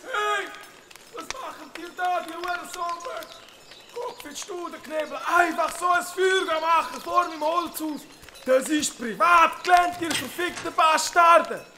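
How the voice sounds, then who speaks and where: An actor playing a furious, foul-mouthed old grandfather guarding his land, shrill and ranting with a Swiss German accent, in a comic audio drama. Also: a little slow, background noise. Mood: outraged. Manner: ranting, cursing.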